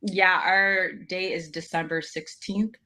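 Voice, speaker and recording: A woman's voice: fairly low pitch, steady tone, slow pace, sharp tone, poor audio. A woman answering as a guest on a live show, rambling with many fillers. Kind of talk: conversational interview answers.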